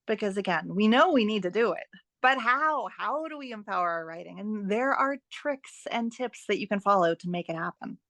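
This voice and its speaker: A woman's voice: medium pitch, animated, moderate pace, fairly bright tone, no room sound. Emphatic woman giving encouraging advice, dry recording. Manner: emphatic, repeats phrases in lists for stress. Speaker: guest author and fiction editor. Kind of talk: podcast interview, giving practical advice.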